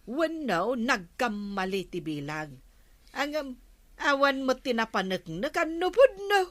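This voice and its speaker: A woman with an Ilocano accent acting a village captain's nosy, sharp-tongued wife in a radio comedy-drama, questioning pointedly. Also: fairly high pitch, sing-song, unhurried, no echo. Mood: defensive, scornful.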